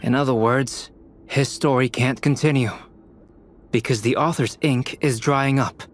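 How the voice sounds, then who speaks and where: An actor playing a thoughtful young scholar in a video game, calm, precise and explanatory in a measured way. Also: male voice, mid-range voice, slight background noise, lightly acted. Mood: pensive, explaining.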